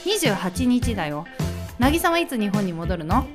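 A woman's voice: medium pitch, sing-song, a little slow, music in the background. A woman playing an affectionate young woman chatting sweetly and casually with her long-distance partner in an amateur radio drama. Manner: sweet, casual phone-call delivery.

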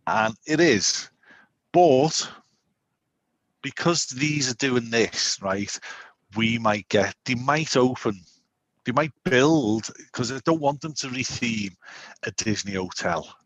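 A man in his forties, co-hosting a podcast in casual chat, rambling and animated with lots of restarts. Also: medium pitch, slow, no echo, poor audio. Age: 40s. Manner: rambling, animated, self-interrupting. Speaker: podcast co-host.

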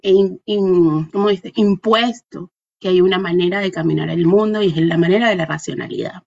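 A woman's voice: lowish voice, fairly even intonation, moderate pace, vocal fry, darkish tone, highs cut off. A woman from Caracas with a Venezuelan accent, answering reflectively in an interview, thoughtfully searching for words and checking in with small tag questions. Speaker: interview guest, dancer and artist.

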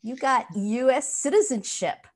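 A woman's voice: high voice, a little slow, darkish tone. A woman hosting an interview who asks questions and often gives encouraging murmurs. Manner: encouraging, frequent affirming murmurs. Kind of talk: casual conversational interview.

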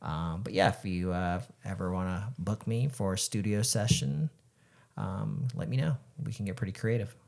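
A man's voice: on the low side, moderate pace, gravelly, a little mellow. A man co-hosting a casual podcast, talking in a laid-back way with lots of fillers. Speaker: podcast co-host, photographer. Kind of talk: casual chat.

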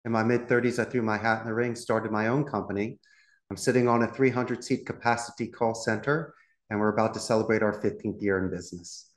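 A man's voice: fairly low pitch, fairly even intonation, fairly quick, roomy sound. A middle-aged man from Philadelphia, a call center CEO, speaking as a podcast guest in a confident, polished way. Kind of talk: podcast interview.

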